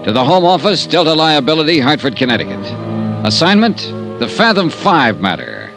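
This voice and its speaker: A lively, wry radio investigator. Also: male voice, fairly high pitch, normal speed, over music. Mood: businesslike. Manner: clipped, dry, skeptical. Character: wry, self-assured freelance insurance investigator narrating his case. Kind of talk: 1950s radio detective acting. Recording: vintage radio drama recording.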